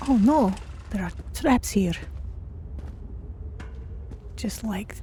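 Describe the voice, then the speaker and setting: A woman voicing a curious, cautious female cat-folk game adventurer, speaking in deliberate, formal phrasing as she narrates her journey. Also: medium pitch, expressive, dark tone. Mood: musing. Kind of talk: in-character game playthrough narration. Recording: clear background noise under voice.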